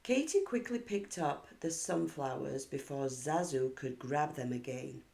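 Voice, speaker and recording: A woman narrating a children's storybook in an expressive, measured storytelling manner. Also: low voice, vocal fry. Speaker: children's book read-aloud narrator.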